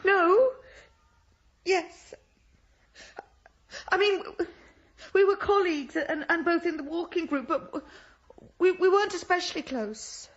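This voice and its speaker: A nervous schoolmistress in a radio play. Her very high voice trembles and falters with anxiety, captured in a slightly dull recording. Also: takes it slowly, pauses often. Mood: distressed. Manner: faltering, tremulous. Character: shaken, anxious young woman schoolteacher. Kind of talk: radio drama acting.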